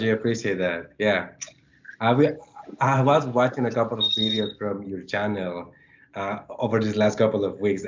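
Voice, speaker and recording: A man from El Salvador, a guest on an interview show, talking about his teaching in a friendly, articulate and enthusiastic way. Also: fairly low pitch. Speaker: guest, computer science instructor. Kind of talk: casual interview. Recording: dull lo-fi audio.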